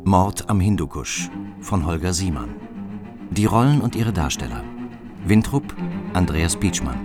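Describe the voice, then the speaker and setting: A man with a low voice announces for radio. His delivery is formal and scripted, and music plays behind him. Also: very animated, unhurried. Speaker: news reader and credits announcer.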